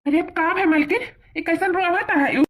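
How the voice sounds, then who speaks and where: औरत की निभाई ऊँची आवाज़ वाला दिलासा देता कार्टून नौकर. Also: female voice, extremely high pitch, brisk, noisy background. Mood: concerned. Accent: eastern Uttar Pradesh. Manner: soothing, encouraging, deferential.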